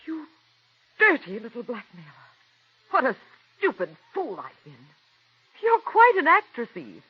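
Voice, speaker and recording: A bitter, ironic playwright's wife. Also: female voice, sits high, huge pitch swings, measured pace, heavily acted. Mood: angry. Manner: dry, ironic, biting. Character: bitter, sharp-tongued playwright's wife, middle-aged. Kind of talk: 1940s radio drama acting. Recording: dull old radio broadcast with music.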